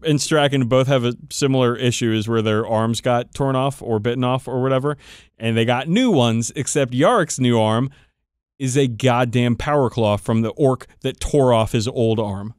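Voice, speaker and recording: Dude cohosting a podcast, medium pitch, swears a lot, irreverent and emphatic, really dry recording. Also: male voice, normal speed, a little mellow, smooth, no room sound. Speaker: podcast co-host. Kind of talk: casual banter about tabletop wargaming.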